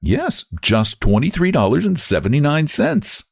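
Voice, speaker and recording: A man voicing a proud, grieving older woman who speaks in a cool, prim, measured way in a storybook reading. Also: a little high, sing-song, gravelly, no echo, studio quality, pitched above the performer's own voice, full character voice. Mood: cool defiant.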